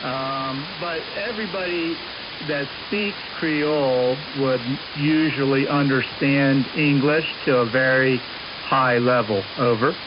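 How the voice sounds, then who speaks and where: A man's voice: medium pitch, slow pace. A man chatting casually as an amateur radio operator, heard over a shortwave radio link. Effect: shortwave radio transmission. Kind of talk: casual radio contact chat, ending turns with "over". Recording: muffled, hissy amateur radio signal.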